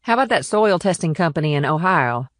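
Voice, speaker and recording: A no-nonsense female small-town cop, played by a woman, gives guarded, blunt answers in a lowish voice. It is audiobook dialogue with a dry, close sound. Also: animated, a little fast, no room sound.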